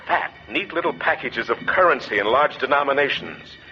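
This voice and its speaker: An actor playing a tough, cool-headed salvage diver in laconic 1940s radio drama style. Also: male voice, mid-range voice, expressive, moderate pace, loud music behind the voice, natural voice. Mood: brooding narration. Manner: laconic, hard-boiled. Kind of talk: 1940s radio acting, first-person narration and dialogue. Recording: muffled, very noisy 1940s radio recording.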